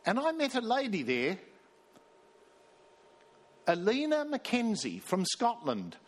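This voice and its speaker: A man's voice: high voice, wildly swooping pitch, slow. A man from Australia, preaching a sermon as minister and telling a story, reading quoted passages aloud with emphasis. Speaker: pastor.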